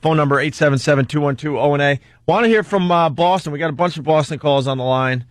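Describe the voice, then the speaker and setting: A man's voice: a little high, fast, dry recording. Dry-sounding male radio talk host. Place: radio studio. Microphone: close studio mic.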